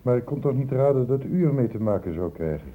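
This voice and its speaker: An actor in a Dutch radio play voicing an earnest, insistent doctor who questions in a measured, formal way. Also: male voice, mid-range voice, animated, close to an everyday voice. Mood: measured, reasoning. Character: earnest, insistent male doctor investigating an infection outbreak. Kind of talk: Dutch radio drama acting. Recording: muffled phone-like old radio recording.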